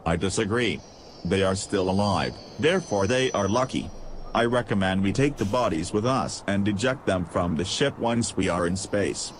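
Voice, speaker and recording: A man playing a cold, literal tactical droid in an audio drama, speaking in a formal, clipped, deadpan manner. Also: deep voice, normal speed, slight background noise, close to an everyday voice. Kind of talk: scripted audio drama acting. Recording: loud music behind.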